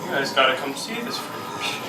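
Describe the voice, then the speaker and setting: A man's voice: mid-range voice, expressive, natural voice. A man playing a frantic, exasperated young man in an amateur zombie comedy stage play. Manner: exasperated, building to drawn-out shouting. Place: indoor stage, recorded from the audience. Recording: echoey stage, distant mic, noisy.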